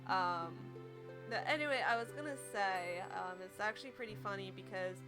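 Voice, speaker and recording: A woman's voice: mid-range voice, moderate pace, over music. A woman from Pennsylvania with a Pennsylvania accent, chatting casually while playing a game. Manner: chatty, rambling, light laughs. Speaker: game streamer. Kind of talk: casual playthrough commentary.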